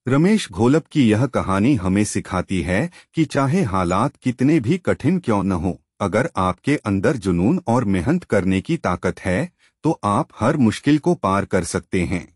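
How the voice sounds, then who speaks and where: A man's voice: lowish voice, very animated, unhurried, a little mellow, breathy edge, no echo, studio quality. A man narrating a scripted motivational story in an earnest, emphatic storytelling manner.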